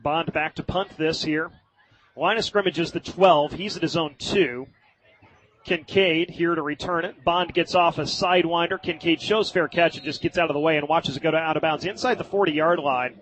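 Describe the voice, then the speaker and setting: An American man doing live radio play-by-play of high school football in vivid, colloquial language. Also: fairly even intonation, normal speed, a bit bright, poor audio.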